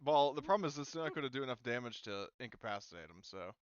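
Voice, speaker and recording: A man's voice: mid-range voice, expressive, fast, clear, resonant tone, no echo, dull audio. A man acting as game master, casually narrating a tabletop game in informal, profane language.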